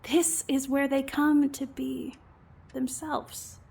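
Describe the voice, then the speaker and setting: A woman acting an earnest, wounded female game master in an audio drama, speaking with deliberate, emotive delivery. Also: sits high, level tone, vocal fry, a little mellow, full character voice. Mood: earnest, passionate. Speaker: voice actor in an amateur audio drama.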